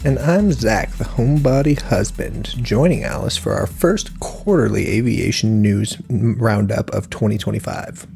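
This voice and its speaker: A man's voice: lowish voice, normal speed, vocal fry, few pauses, darkish tone, faint music behind. A man co-hosting a podcast, reading scripted lines with a dry, corny sense of humor. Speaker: podcast co-host.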